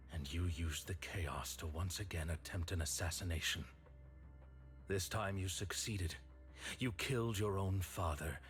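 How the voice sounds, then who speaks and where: Deep-voiced stern accuser. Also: male voice. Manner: grave, accusing, measured. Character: stern, cold accuser, former ally of the antihero. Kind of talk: video game dramatic acting. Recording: music under the voice.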